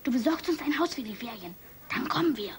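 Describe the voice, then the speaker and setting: Casting: resolute adventurous girl, very high voice, audio drama dialogue, faint background noise. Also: expressive, quick pace. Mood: bossy.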